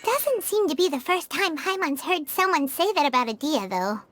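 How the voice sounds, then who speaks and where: A woman's voice: high-pitched, expressive, normal speed, fairly bright tone, faint music behind. A woman voicing a tiny, chatty fairy companion girl who talks about herself in the third person, in a game cutscene. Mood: curious. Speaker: companion character.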